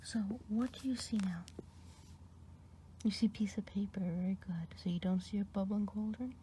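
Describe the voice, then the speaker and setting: Kindly witch played by a woman, soft mellow whisper, patient ASMR roleplay tone. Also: medium pitch, moderate pace, slight background noise, lightly acted. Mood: gentle. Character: kindly good witch woman running an eye exam.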